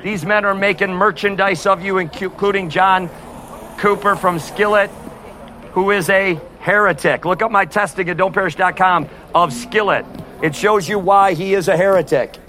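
Loud high-voiced street preacher man. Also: steady tone, moderate pace, slight background noise. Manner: forceful, repeatedly addressing "neighbors". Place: outdoors on a street outside a concert. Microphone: no amplifier.

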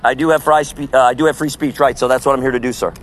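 A man preaching loudly and forcefully on an outdoor sidewalk, proclaiming to passersby. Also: mid-range voice, fairly even intonation, rapid-fire, a little hiss or hum. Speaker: street preacher. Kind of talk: open-air street preaching.

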